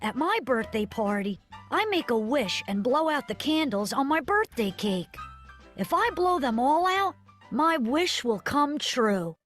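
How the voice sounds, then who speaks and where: Lively high-pitched woman reading for kids. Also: a little slow, faint music behind. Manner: bright, sing-song, clearly read. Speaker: narrator of a children's video. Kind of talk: scripted narration for children.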